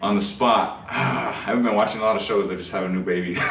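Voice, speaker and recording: A man's voice: lowish voice, moderate pace. A man in his thirties, a comedian, giving casual interview answers. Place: small backstage dressing room. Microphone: camera across the room. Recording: echoey room, distant mic, faint noise.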